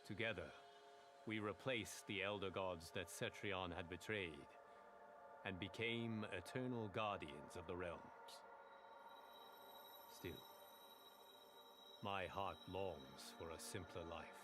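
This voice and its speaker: An actor playing a noble, wistful hero turned god in a video game, speaking in an earnest, reflective monologue. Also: male voice, deep voice, slow pace, long pauses. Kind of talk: dramatic game ending monologue. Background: music.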